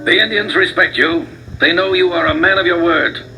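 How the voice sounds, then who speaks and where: A commanding army general gives orders in a firm, fairly high voice, as a man acts him out. Music plays behind him in this storybook recording. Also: moderate pace, rough recording. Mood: stern. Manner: firm, authoritative. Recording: voice over music. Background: background music.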